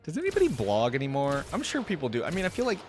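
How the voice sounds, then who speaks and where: Animated man doing casual reaction commentary. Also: a little high, racing, faint music behind. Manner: amused, musing, echoes phrases. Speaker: host of a game reaction video.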